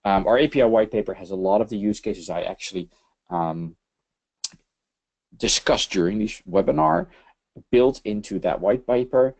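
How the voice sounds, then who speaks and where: Dutch-accented male presenter, non-native English, halting delivery, slightly low, gravelly voice. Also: lively intonation, a little mellow. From Netherlands. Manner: halting, many fillers and repeated words. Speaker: webinar presenter, systems engineering lead. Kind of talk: webinar presentation over slides.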